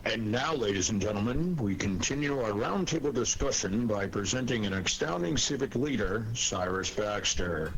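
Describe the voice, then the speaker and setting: Formal radio announcer, 1940s, lowish voice, grand, noisy old recording. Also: male voice, animated, normal speed, hardly any pauses. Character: formal male broadcast announcer.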